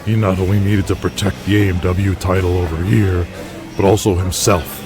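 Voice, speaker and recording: A man playing a calm, good-natured veteran pro-wrestler in an audio drama, speaking in a measured, conversational way. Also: low voice, lightly acted. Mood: frank recounting. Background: music.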